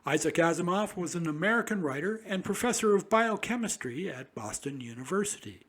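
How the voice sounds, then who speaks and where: A man's voice: high voice, lively intonation, brisk, a bit bright, husky. A man hosting a classic-radio program, reading a formal scripted introduction. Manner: formal, announcer-like. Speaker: host.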